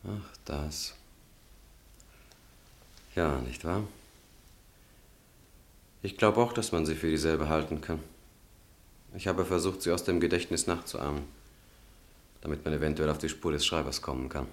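Very deep-voiced, controlled young schemer. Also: male voice, unhurried, pauses often. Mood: smoothly explaining. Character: Lju, controlled, evasive, secretly calculating young student. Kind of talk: German radio drama acting.

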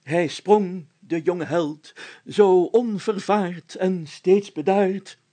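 A man's voice: high voice, drawn-out words, warm tone, pitched above the performer's own voice. A man voicing a pompous, solemn village mayor in a storybook, speaking in a formal, declamatory and long-winded way. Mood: solemn, grandiose. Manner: pompous, declamatory, formal, stammering when flustered.

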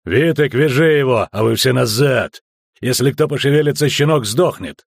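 A man voicing a gruff, impatient, threatening guard ruffian who barks commands in an audiobook story. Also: mid-range voice, normal speed, no room sound. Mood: furious.

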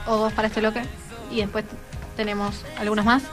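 A woman's voice: medium pitch, flat delivery, a little fast, over music. A teenage female student with an Argentine accent, casually explaining as a radio guest. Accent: Argentine, Rioplatense. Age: teens.

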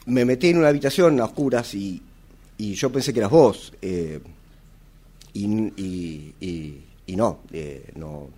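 An actor in a radio theatre exercise playing a nervous, hesitant husband, stammering and repeating himself with an Argentine accent. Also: male voice, on the low side, a little slow, mellow, breathy edge. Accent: Argentine, Rioplatense. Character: nervous husband haltingly confessing an old affair. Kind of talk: radio theatre workshop acting.

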